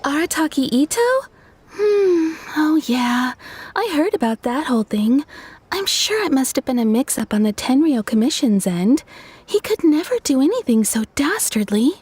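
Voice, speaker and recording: A bright-spirited, high-voiced townswoman. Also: normal speed. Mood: amused. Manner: expressive storytelling, explanatory. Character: cheerful, kindly townswoman telling local anecdotes. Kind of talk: video game dialogue.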